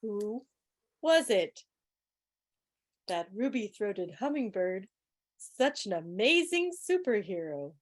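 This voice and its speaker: A woman's voice: medium pitch, very animated, slow pace, long pauses. A woman hosting a club quiz on a video call, with a playful, teacherly manner. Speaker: quiz host. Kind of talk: club quiz hosting, reading questions aloud.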